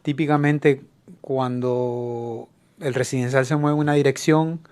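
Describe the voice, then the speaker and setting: A middle-aged man from New York, raised by Cuban parents, speaks as a radio guest at a mid pitch. He explains industrial real estate in a hesitant way and fills his pauses with "eh". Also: fairly even intonation, a little mellow, clean tone. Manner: hesitant, lots of fillers. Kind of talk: radio interview.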